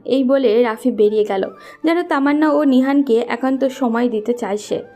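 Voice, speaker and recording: Casting: female storyteller for romance audio, high but mellow voice, gentle music bed. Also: normal speed. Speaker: narrator. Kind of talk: scripted romantic story narration.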